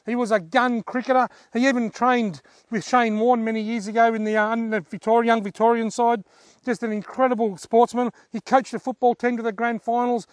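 A man's voice: very high-pitched, little pitch movement, fairly quick, no echo. A man in his forties with an Australian accent, talking casually and effusively to camera as a vlogger. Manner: effusive, enthusiastic. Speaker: fishing vlogger, host. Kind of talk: casual vlog talk to camera. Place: outdoors on a dirt track in bushland.

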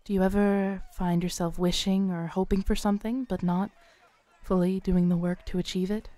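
A woman playing a kindly, knowing tarot reader at a Renaissance faire, speaking softly, smoothly and soothingly to the listener in an audio roleplay. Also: medium pitch, level tone, no echo, close to an everyday voice. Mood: gently advising. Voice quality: smooth, soft. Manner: unhurried, soothing, theatrical, with dramatic pauses. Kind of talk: soft-spoken audio roleplay addressed to the listener. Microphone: close studio mic, dry.

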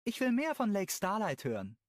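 Guy doing a jittery mom in a storybook, way up high and breathy, chattering fast, very dry close sound. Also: huge pitch swings, dry recording. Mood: eager. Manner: high, breathless, eager to change the subject. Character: anxious chatty middle-aged mother.

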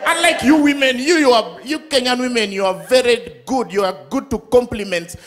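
A middle-aged man with a Ugandan accent doing a loud, playful stand-up set on a big studio stage with a handheld mic. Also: extremely high pitch, a little fast. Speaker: stand-up comedian. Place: large studio stage with live audience. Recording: live stage sound with crowd and music. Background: audience laughter and music.

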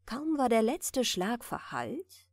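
A woman narrator softly reading a bedtime story in a gentle, soothing manner. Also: fairly high pitch, sing-song, no echo, studio quality. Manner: gentle, soothing, unhurried delivery. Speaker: audiobook narrator.

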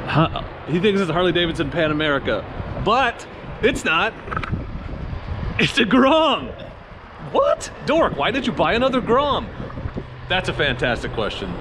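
A man's voice: high-pitched, big pitch swings, a little slow, long pauses. A man in his forties hosting a casual road trip vlog, joking and self-mocking, filmed on a handheld action camera in a truck and at roadside stops. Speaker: motorcycle vlogger. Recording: background road and wind noise.

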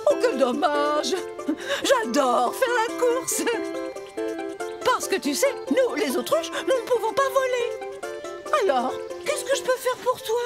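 A woman's voice: extremely high pitch, sing-song, slow, heavily acted. A woman voicing an eccentric, eager and proud female ostrich in a preschool cartoon, polite and theatrical. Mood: wistful. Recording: music behind the voice.

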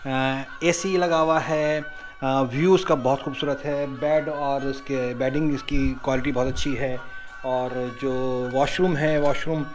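A man with a Pakistani accent hosting a casual travel vlog, narrating and chatting. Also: on the high side. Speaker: travel vlogger and host. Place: outdoors on a boat and at a beach resort.

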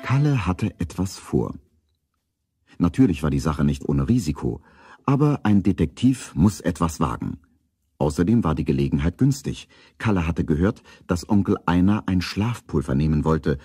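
A man narrating a children's radio play as a calm storyteller, reading scripted prose. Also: low voice, slightly rough, no echo, clean studio sound.

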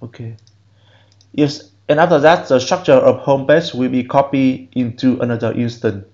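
A man whose first language is Vietnamese, giving halting step-by-step instructions in a screen-recorded software tutorial. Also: medium pitch, fairly even intonation, muffled recording. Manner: slow, halting step-by-step instructions in non-native English. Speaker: programming instructor.

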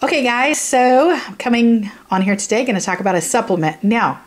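A woman vlogger with a hoarse, raspy voice from sinus trouble, rambling casually through a supplement review to camera with lots of fillers. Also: fairly high pitch, expressive, brisk. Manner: rambling, chatty, many fillers and "you know what I mean". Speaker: YouTube beauty vlogger. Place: indoors, filming a product close up.

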